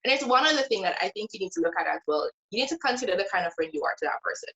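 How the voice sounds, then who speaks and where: A woman's voice: medium pitch, expressive, rapid-fire, a bit bright, low-quality recording. A woman guest sharing her Bible study in a casual faith conversation. She speaks earnestly and with emphasis, stretching out key words like a teacher.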